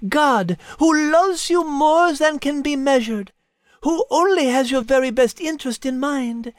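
An actress playing a refined, sensible French cat who co-hosts a scripted podcast, with a French accent. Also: female voice, sits high, measured pace, no room sound, studio quality. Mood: earnest. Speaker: co-host in character. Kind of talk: scripted podcast banter and storytelling.

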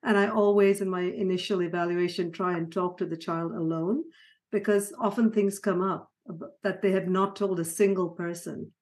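A middle-aged woman born in Sri Lanka and raised in Ghana, talking through her life story as a podcast guest, with soft laughs and frequent restarts. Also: on the low side, steady tone, normal speed. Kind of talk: conversational storytelling interview.

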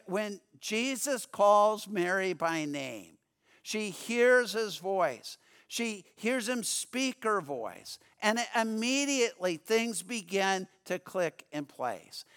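Male pastor, sermon, slow unhurried delivery, bright clear voice, earnest, repeats words. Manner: earnest, stumbles and repeats words, reads scripture in a lower quieter voice.

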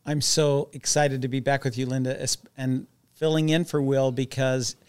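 A man's voice: medium pitch, little pitch movement, moderate pace, a little mellow, smooth. A man co-hosting a casual podcast, upbeat and joking, with frequent fillers. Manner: upbeat, tells dad jokes, uses fillers. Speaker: podcast co-host.

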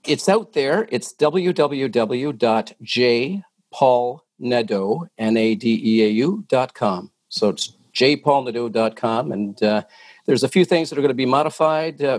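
A middle-aged Canadian man, a detective and hostage negotiator, telling his story in a measured, matter-of-fact way as a podcast guest, with many fillers. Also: medium pitch, a little mellow, dry recording. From Ontario, Canada.

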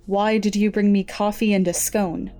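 Woman playing cool brisk boss lady in a fiction podcast, clipped formal delivery, medium pitch. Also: level tone, mellow, faint music behind, natural voice. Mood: guarded. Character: brisk, guarded, businesslike female research supervisor. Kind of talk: audio drama acting.

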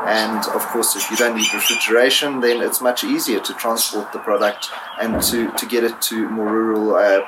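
A middle-aged man from South Africa, raised in Zimbabwe, answering interview questions with many fillers and restarts. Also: mid-range voice. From born in South Africa, raised in Zimbabwe. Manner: hesitant, many fillers and repeated words. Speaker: guest, food entrepreneur. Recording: noisy background.

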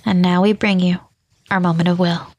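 A woman reading scripted podcast announcements in a measured, clearly enunciated way. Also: lowish voice, level tone, darkish tone, no echo, highs cut off. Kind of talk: scripted narration.

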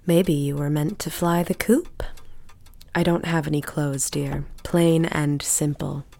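A woman's voice: low voice, steady tone, measured pace, gravelly, warm tone, heavily acted. A woman playing a bitter, forgetful bedridden housewife in an audio drama, sardonic and imperious, with a drawling delivery.

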